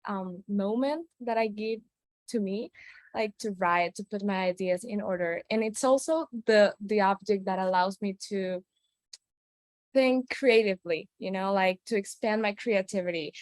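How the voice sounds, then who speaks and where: A woman from Venezuela, Spanish her first language, speaks earnestly over a video call. She talks at a medium pitch, often restarting as she searches for words. The sound is close and dry, with no room in it. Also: a little slow, darkish tone, dry recording. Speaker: storyteller guest. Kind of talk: personal show-and-tell story on a video call.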